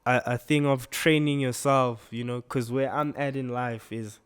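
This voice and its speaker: A man's voice: medium pitch, steady tone, moderate pace, clear, resonant tone. A young man co-hosting a casual podcast chat, laid-back and full of slang, tagging lines with "you know" and "bro". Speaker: podcast co-host.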